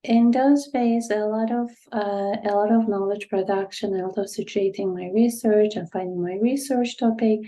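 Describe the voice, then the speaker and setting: A middle-aged woman from Turkey speaks with a Turkish accent. Her tone is mellow and warm, and her pitch sits in a middle range. She thinks aloud as she answers, hedging and filling her pauses. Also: fairly even intonation. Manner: reflective, many fillers and hedges. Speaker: author and guest speaker. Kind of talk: academic Q&A answer.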